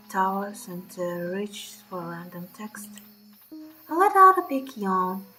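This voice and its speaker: Woman, game streamer, bright voice, slower pace, expressive read-aloud narration with blunt swearing, music underneath. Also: medium pitch, rough recording. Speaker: streamer reading a visual novel aloud. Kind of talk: narration with off-the-cuff reactions.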